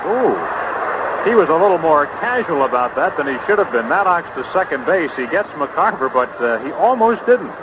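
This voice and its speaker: An American man calling baseball play-by-play in a conversational, unhurried way from a broadcast booth. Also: fairly high pitch, big pitch swings. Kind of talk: live sports commentary. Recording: muffled, noisy vintage baseball broadcast.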